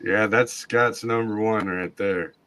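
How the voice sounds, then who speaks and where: A man's voice: lowish voice, fairly even intonation, unhurried, a bit bright, no room sound. Casual joking man, dry close sound. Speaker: live stream host. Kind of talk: casual chat. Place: indoors, online live stream.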